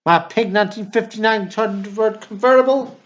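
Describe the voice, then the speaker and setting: An actor playing a hot-headed, self-pitying Texan brother in a radio drama, with dramatic, folksy outbursts. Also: male voice, high voice, fairly quick, a bit bright. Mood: alarmed. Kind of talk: amateur radio drama acting. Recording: dull, lo-fi audio.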